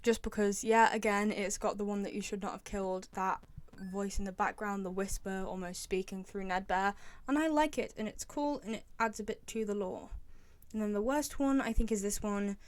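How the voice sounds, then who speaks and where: A woman's voice: medium pitch, moderate pace. A young woman giving casual, enthusiastic, informal commentary as the host of a video game fan video.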